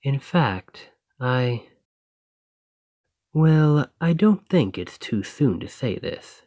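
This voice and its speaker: A man playing a devoted, gentle boyfriend in a romantic ASMR roleplay, soft and husky, with a tender, reassuring manner. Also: mid-range voice, sing-song, drawn-out words, dry recording, studio quality, heavily acted. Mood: loving, earnest.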